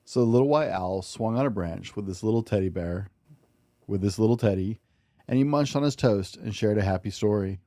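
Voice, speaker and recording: Male storybook reader, dark soft tone, gentle expressive bedtime delivery, dry sound. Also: fairly low pitch, a little fast, dry recording. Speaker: podcast host and storybook reader. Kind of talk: expressive read-aloud of a children's picture book.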